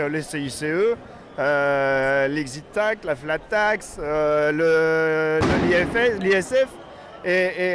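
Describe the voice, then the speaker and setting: A man from Normandy, speaking off the cuff as a protester in a street interview, often searching for his words. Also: fairly high pitch. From Normandy, France. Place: outdoors in a city street at a protest.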